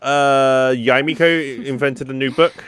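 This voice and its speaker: A man's voice: mid-range voice, steady tone, slow, fairly bright tone. A man doing casual let's-play commentary, reacting to the dialogue of the game he is playing. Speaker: gaming content creator. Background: game music.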